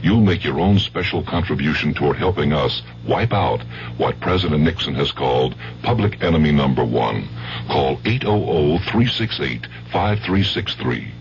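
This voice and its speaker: A man's voice: a bass voice, lively intonation, moderate pace. A man reading a formal, authoritative 1970s public service radio announcement. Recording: muffled 1970s radio broadcast with hum.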